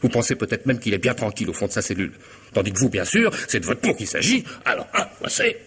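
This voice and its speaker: A man's voice: lowish voice, wildly swooping pitch, fast, creaky, a bit bright, breathy edge, heavily acted. An actor playing a cunning, mocking hitman in a radio mystery, with theatrical irony and precise, formal diction. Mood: scornful, mocking. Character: cunning middle-aged hitman, smug, mocking, methodical blackmailer. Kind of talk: French radio mystery drama acting.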